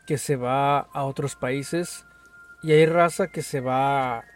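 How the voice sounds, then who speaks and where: A man's voice: medium pitch, fairly even intonation, takes it slowly. A young Mexican man with a Mexican accent, chatting casually on a podcast, full of slang and swearing. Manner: slangy, swears a lot, says "güey" constantly. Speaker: podcast co-host. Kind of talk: casual chat.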